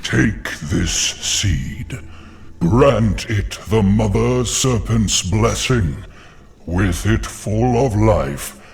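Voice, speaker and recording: Ancient, very slow creaky tree spirit. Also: male voice, full character voice. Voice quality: deep, creaky. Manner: slow, grave, deliberate. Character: ancient weary giant tree spirit, solemn and benevolent. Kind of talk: video game character acting.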